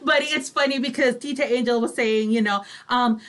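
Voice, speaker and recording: A woman's voice: a little high, normal speed, a bit bright. A woman co-hosting a radio show in an agreeable, informal, chatty way. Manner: agreeable, informal, frequent "like" and "you know". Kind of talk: casual chat.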